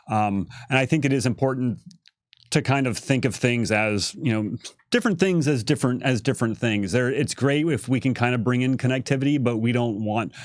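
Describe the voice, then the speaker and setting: A man's voice: mid-range voice, dry recording, studio quality. A man speaking casually as a livestream panelist, often circling back to rephrase his answers in a hesitant way. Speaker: panelist and creative director on a company livestream.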